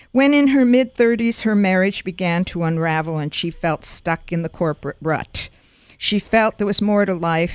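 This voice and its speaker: A woman hosting a radio interview show who speaks deliberately and often repeats words as she frames her questions. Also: lowish voice, smooth, dry recording.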